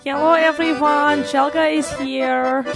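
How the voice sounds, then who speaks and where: A woman's voice: high-pitched, fairly even intonation, unhurried, vocal fry, over music. A teenage girl voicing a brash Czechoslovakian woman alter ego, speaking broken English in a put-on Eastern European accent. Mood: playful. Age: teens. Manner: broken English without articles, theatrical. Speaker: guest.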